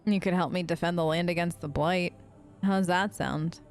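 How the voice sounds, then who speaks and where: A woman's voice: fairly low pitch, steady tone, normal speed, soft background music. A woman hosting a gaming video, role-playing her side of the dialogue in a chatty, wry, informal way. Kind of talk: let's play role-play, casual in-character dialogue.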